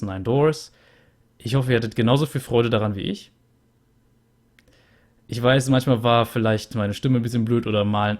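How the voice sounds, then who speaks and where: A man's voice: mid-range voice, a little mellow. A young man hosting a let's play, chatting casually and a bit rambly as he sums up a game, recorded on a USB studio mic at home. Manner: chatty and rambling, with many fillers. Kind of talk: casual game wrap-up commentary. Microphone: USB studio microphone.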